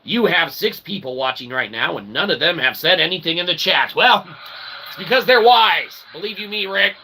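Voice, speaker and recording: A man with a fairly high, gravelly voice hosts a rambling comedy livestream. He plays the showman with self-deprecating patter and punctuates it with little questioning tags. The recording has clear background noise. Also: expressive, moderate pace, sharp tone, low-quality recording. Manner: mock-showman, self-deprecating, refers to himself as "we"; repeats tag phrases like "okay?" and "eh?". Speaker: livestream host.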